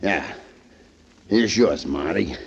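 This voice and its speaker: Gravelly, simple-minded young prizefighter. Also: male voice, sits low, expressive, slow, exaggerated voice. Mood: eager, simple. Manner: blunt, slangy, uneducated talk. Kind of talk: 1940s radio crime drama acting. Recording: muffled 1940s radio recording.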